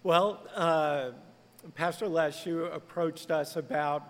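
Creaky-voiced pastor in a reverberant room. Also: male voice, fairly high pitch, steady tone, takes it slowly, fairly bright tone. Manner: conversational, earnest, lightly laughing. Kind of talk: podcast interview.

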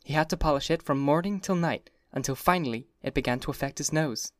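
A man voicing a bubbly, wistful young society wife in a high, lilting, breathy voice. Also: fast, dry recording, clean studio sound, lightly acted. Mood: confiding hush.

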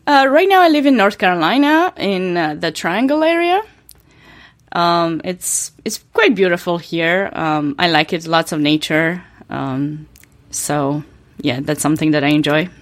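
A woman's voice: lowish voice, lively intonation, unhurried. A woman from Romania with a Romanian accent, a podcast guest speaking in a thoughtful, hesitant way with many ums. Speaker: guest, chess grandmaster and coach. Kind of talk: interview conversation.

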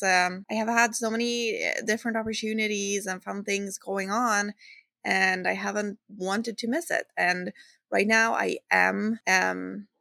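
A woman's voice: mid-range voice, vocal fry, a bit bright, dry recording, studio quality. A woman with a Swedish accent, a non-native English speaker, hosting a casual solo podcast in an informal, hesitant way, searching for words. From Sweden. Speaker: podcast host and fitness coach.

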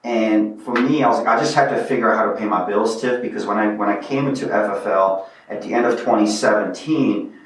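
A low-voiced man from Bosnia who grew up speaking another language tells his story in an earnest, reflective way. He sits a little back from the mic, and a strong room echo hangs on his words. His talk is an unhurried guest interview. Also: distant mic, a little hiss or hum. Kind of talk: casual interview.

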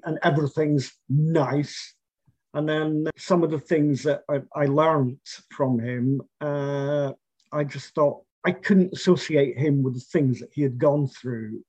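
A middle-aged man, telling about his family's past in a measured, reflective way, with fillers and restarts. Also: a little high, dark tone, slightly rough, no echo. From Scotland. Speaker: interviewee. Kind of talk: oral history interview. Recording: dull, lo-fi and dry.

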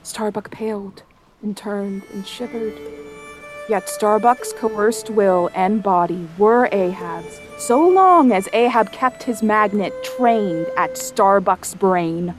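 A woman as a slow, solemn sailor narrator. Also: mid-range voice, over music, lightly acted. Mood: solemn, haunted. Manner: measured, solemn, literary storytelling. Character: haunted young sailor narrating the whaling voyage. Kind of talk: theatrical audio drama narration.

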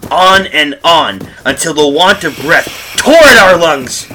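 An actor playing a frightened husband lost in time, in melodramatic old-time radio horror style with intense, urgent delivery. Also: male voice, a little high, lively intonation, measured pace, bright, forceful tone, a little hiss or hum, low-quality recording. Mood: urgent, panicked. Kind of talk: old-time radio horror acting, first-person narration and dialogue.

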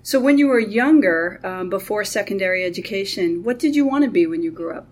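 A woman hosting a podcast, reading a scripted intro and asking interview questions in a friendly, measured way. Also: mid-range voice, expressive, a little mellow. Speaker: podcast host.

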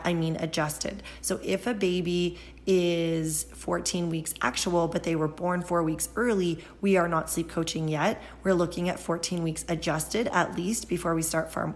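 Woman host, kinda raspy scratchy voice, lowish, chatty and patient explaining stuff. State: hoarse, scratchy voice from a seasonal cold. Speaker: pediatric sleep consultant and podcast host. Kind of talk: solo podcast Q and A.

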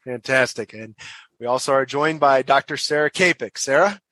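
A man's voice: medium pitch, normal speed, bright, forceful tone, no echo. A man hosting a professional podcast, introducing guests with many fillers and restarts. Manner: hesitant, frequent uh fillers and repeated words. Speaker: podcast host, association director.

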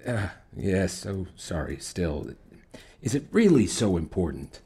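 An actor playing a middle-aged psychology professor in an audio drama, measured and patronizing, flattering with deliberate pauses. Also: male voice, low-pitched, husky, heavily acted. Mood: smoothly persuasive. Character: smooth, flattering, condescending manipulative male psychology professor. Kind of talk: fiction podcast audio drama acting.

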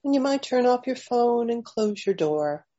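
A woman leading a guided meditation, offering gentle invitations with long pauses between phrases, in slightly dull audio. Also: fairly high pitch, animated. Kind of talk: slow guided meditation narration.

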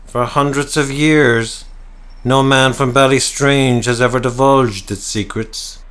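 A man voicing a stern, scornful male town doctor who talks slowly and snarls. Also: mid-range voice, fairly even intonation, a bit bright, smooth, voice pushed up. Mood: snarling. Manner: slow, snarling, contemptuous.

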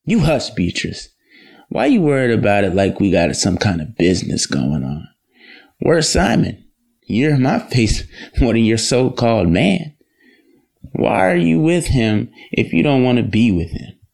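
A man's voice: medium pitch, sing-song, unhurried, creaky, darkish tone, heavily acted. A man playing a firm, irritated young suitor in an audio drama, scolding and insistent.

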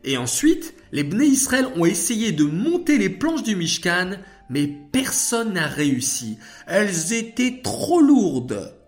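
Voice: mid-range voice, very animated, unhurried, soft background music, voice pushed up. A storyteller voicing a curious, enthusiastic little girl who talks eagerly to her papa. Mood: enthusiastic, proud. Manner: eager, exclaiming, astonished questions.

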